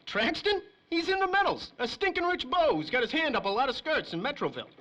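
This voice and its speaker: A man's voice: very high-pitched, big pitch swings, fast talker, sharp tone, heavily acted. An actor playing a nervous, shifty small-time crook and informant in a 1930s-style radio crime drama, with whiny, slangy wise-guy talk.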